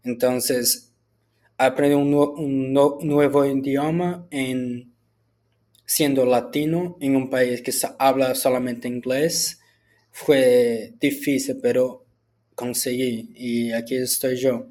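Man, Brazilian Portuguese accent, native Portuguese speaker, student, answering set questions, very slow pace, average pitch, halting restarts. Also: steady tone, darkish tone. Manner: halting, with frequent restarts and filler "ah". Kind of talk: answering set questions in a spoken school assignment.

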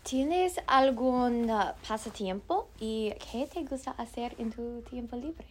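A woman's voice: a little high, expressive, takes it slowly. A young woman, a student, asking interview questions politely and giving short encouraging replies. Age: young adult.

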